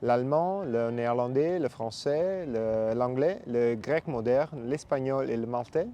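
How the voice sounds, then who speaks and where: A man in his thirties from Italy, interviewed casually, speaking calmly. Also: medium pitch, measured pace. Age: 30s. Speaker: guest.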